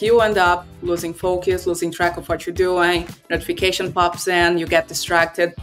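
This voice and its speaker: A young woman, a native Brazilian Portuguese speaker, talks easily on a video call from a room at home, her voice sitting a little low. She chats in a relaxed way, leaning on "like" as she explains things. Soft music plays faintly underneath. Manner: relaxed, conversational, frequent "like" fillers. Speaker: developer relations guest. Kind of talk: casual video call chat.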